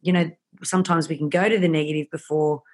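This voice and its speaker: A woman hosting a podcast interview, asking long rambling questions with fillers and warm affirmations of her guest. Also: low voice, steady tone, fast talker, husky. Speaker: podcast host. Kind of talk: casual interview chat.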